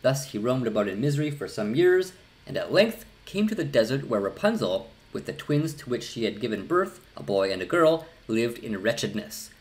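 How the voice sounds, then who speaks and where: Middle aged guy reading a fairy tale out loud, pitch moves around a lot. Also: big pitch swings, normal speed. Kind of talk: scripted storybook narration. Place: small indoor room.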